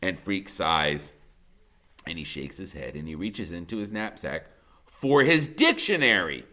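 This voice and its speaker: A man reading a children's novel aloud in the first person, like a teacher, expressive and colloquial. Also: sits low, unhurried.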